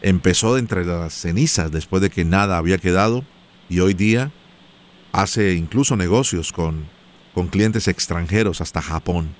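A man hosts a radio business show with a fairly low voice. He greets and praises his guests in an affectionate, encouraging way. He reads out the show's contact details between interviews. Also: normal speed.

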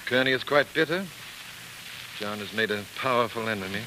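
A man acting a protective older senator, a stern father with measured, firm speech, in a 1940s radio drama. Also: on the low side, lightly acted. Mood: grave. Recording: hissy, noisy 1940s radio recording.

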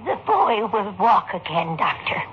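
A woman playing an old, mystical fortune teller with a halting, dramatic delivery in 1960s radio drama style. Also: mid-range voice, very animated. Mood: grateful. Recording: muffled old radio recording, background noise.

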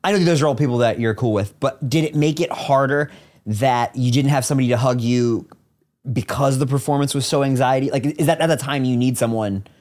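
A podcast co-host in casual chat who asks leading questions and chips in with quick agreement and laughs. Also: medium pitch, a little mellow.

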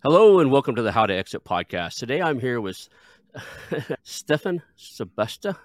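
A man's voice: mid-range voice, very animated, normal speed, no room sound, clean studio sound. A man hosting a podcast, chatting casually and informally with a self-deprecating streak. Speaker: podcast host. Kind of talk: casual interview chat.

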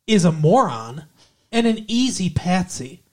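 A man's voice: high-pitched, big pitch swings, measured pace, a little mellow. Man rambling through a movie plot. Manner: rambling, restarts often, explains plot. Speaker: podcast co-host. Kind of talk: casual movie review chat.

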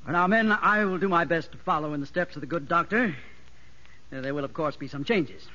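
An actor playing a prim, easily flustered new prison doctor with a stiff, stammering formality, in a 1950s radio drama. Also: male voice, on the high side, fairly quick, pushed and bright. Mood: stiffly earnest. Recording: dull, noisy vintage radio recording.

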